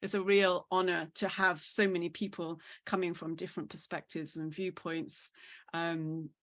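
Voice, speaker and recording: A woman's voice: fairly low pitch, flat delivery, normal speed, a bit bright. A woman speaking in an online panel discussion, enthusiastic and searching for words, repeating herself as she goes, heard over a video call. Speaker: roundtable speaker.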